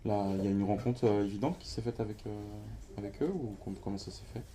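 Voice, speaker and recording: A man's voice: lowish voice, fairly even intonation, quick pace, dark tone. A man hosting a book launch at a bookshop, interviewing the author and searching for his words with many hesitations. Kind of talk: interviewing a guest at a live event. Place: bookshop event before an audience.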